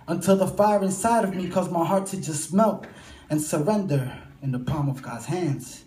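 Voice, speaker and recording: A man's voice: a little high, normal speed, darkish tone. A man performing rhythmic, rhyming spoken word as a church poet, with emphatic delivery. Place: church hall during a live service. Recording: roomy live church sound over music. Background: music.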